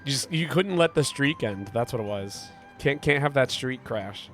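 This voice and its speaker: A male game streamer with a sick voice, gravelly and deep from congestion. He rambles casually, reading out and answering viewer messages. Faint game music plays underneath his mid-pitched voice. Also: lively intonation, normal speed, fairly bright tone. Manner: rambling, reads chat aloud and answers it. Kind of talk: casual live-stream chat while gaming.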